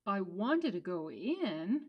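Woman as a slow-talking, gentle young puppet disciple. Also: mid-range voice, very animated, slightly rough, highs cut off, heavily acted. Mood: wondering. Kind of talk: children's puppet show storytelling.

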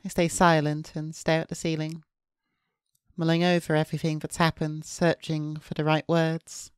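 A woman's voice: low-pitched, monotone, moderate pace, smooth, no room sound, studio quality. A woman streamer reading visual novel narration aloud, with casual asides. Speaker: VTuber streamer. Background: soft guitar game music.

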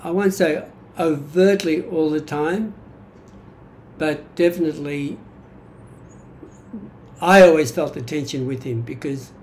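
A man in his seventies with an Australian accent, giving a reflective personal monologue from his notes in a halting, deliberate manner. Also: a little high, a little mellow, a little hiss or hum. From Greek-born, grew up in Australia. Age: 70s. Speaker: vlogger sharing personal history. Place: small indoor room at home.

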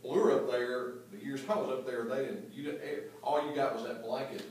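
A council member talks haltingly, restarting his sentences. His voice is mid-pitched. He is heard from far off in a very echoey chamber. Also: steady tone, darkish tone. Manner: halting, rambling. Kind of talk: meeting discussion.

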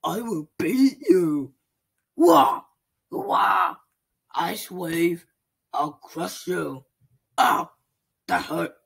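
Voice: medium pitch, sing-song, very slow, vocal fry, long pauses, husky, heavily acted. A voice actor playing a big, blustering male ice robot who barks simple threats. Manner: loud, blunt, simple threats.

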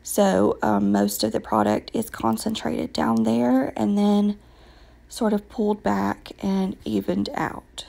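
A woman with a raspy voice from a recent illness, patiently explaining her nail work step by step with frequent ums. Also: medium pitch, lively intonation, slow pace, warm tone. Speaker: nail tutorial vlogger. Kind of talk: casual step-by-step nail tutorial voice-over.

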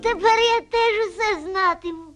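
High, childlike little owlet. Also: female voice, moderate pace. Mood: wondering. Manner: childlike, singsong. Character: naive, boastful young owlet grandchild. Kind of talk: Soviet-era animated cartoon acting. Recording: old cartoon soundtrack with music.

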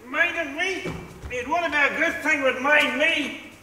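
An actor playing a drunken, boastful, preachy middle-aged husband on stage. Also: male voice, very high voice, a little slow, sharp tone, full character voice. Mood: blustering. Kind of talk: stage play acting. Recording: echoey hall, far from mic.

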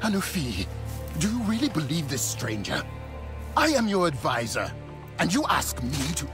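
A commanding, self-justifying alien advisor in a game cutscene. His high voice moves dramatically up and down, emphatic and stern, over prominent music. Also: wildly swooping pitch, moderate pace. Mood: indignant.

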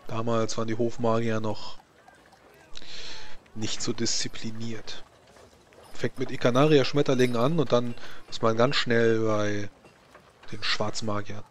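A man's voice: mid-range voice, steady tone, a little slow. Man narrating a tabletop game, noisy background. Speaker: game master. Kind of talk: improvised tabletop roleplay narration.

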